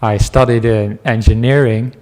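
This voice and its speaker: Dutch guy teaching meditation, easygoing storyteller, mid pitch, chatty asides. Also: steady tone, moderate pace. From Netherlands. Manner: gentle, chatty storytelling with asides and small laughs. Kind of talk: dharma talk to a class.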